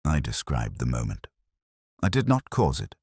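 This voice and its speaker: A man voicing a calm, unshaken male stranger who foretells events, measured and solemn, in an audiobook. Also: very deep voice, breathy edge, no echo, studio quality, voice pushed down, exaggerated voice. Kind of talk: audiobook character dialogue.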